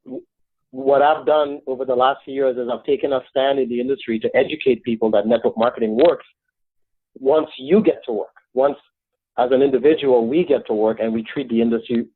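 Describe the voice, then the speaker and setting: A middle-aged Trinidadian man talks eagerly and earnestly as an interview guest. His voice sits at an ordinary pitch for a man. The sound is thin and phone-like, with no room around it. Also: steady tone, fairly quick, slightly rough, no echo. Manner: earnest, enthusiastic. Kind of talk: interview answers. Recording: dry, tinny phone-like line.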